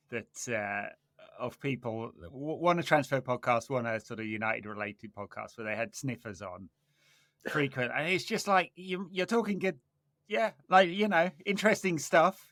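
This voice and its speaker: Man with a bright, dry-sounding voice. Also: medium pitch, no echo. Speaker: podcast host. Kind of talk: casual chat on a football podcast.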